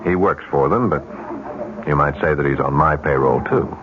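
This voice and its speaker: An actor playing a smooth, evasive American schemer posing as a businessman, speaking deliberately in an old-time radio drama style. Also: extremely low pitch, crowd noise. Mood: coolly insinuating. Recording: dull, faintly noisy old radio recording.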